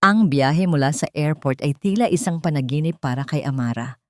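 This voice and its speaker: A woman with a low-pitched voice narrates a dramatic audiobook. Her delivery is measured and suspenseful, and the sound is dry and close, with no room around it. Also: darkish tone, no room sound. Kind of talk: dramatic scripted story narration.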